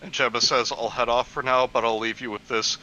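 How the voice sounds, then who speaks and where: A man's voice: mid-range voice, little pitch movement, brisk, pushed and bright, muffled recording. A man in a casual group chat, co-commentating with snarky, self-deprecating jokes.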